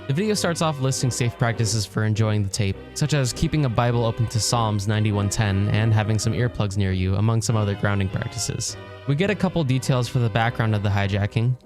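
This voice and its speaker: A man with a fairly low voice narrates a scripted video essay at a quick clip. His delivery is informal and wry, full of casual asides. Guitar music plays clearly behind him. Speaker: video essayist, channel host.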